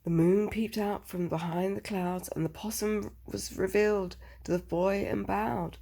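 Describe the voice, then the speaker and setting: A woman with a husky voice reading a picture book aloud to a child, expressively, with chatty questioning asides. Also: mid-range voice, fairly even intonation, unhurried, mellow.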